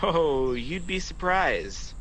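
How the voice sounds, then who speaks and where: A man's voice: on the high side, big pitch swings, takes it slowly, fairly bright tone, exaggerated voice. A man playing a sly, mocking, boastful fallen angel in a radio play, sardonic and theatrical, on a noisy home recording. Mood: smug, persuasive. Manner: smooth-talking, sardonic, theatrical.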